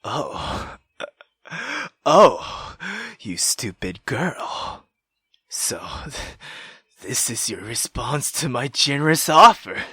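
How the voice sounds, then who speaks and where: Woman as a male villain, low, slow, breathy, wounded, scornful, halting, visual novel. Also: sing-song, sharp tone, no room sound, clean studio sound, heavily acted. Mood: scornful, pained. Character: wounded, scornful villainous man, coldly amused.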